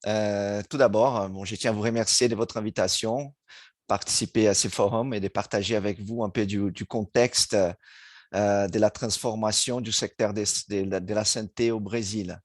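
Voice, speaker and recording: Halting Brazilian man presenting slides. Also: lowish voice, fairly even intonation, no room sound, clean studio sound. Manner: halting, searching for words, frequent restarts. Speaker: guest speaker, board chairman. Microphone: remote video call.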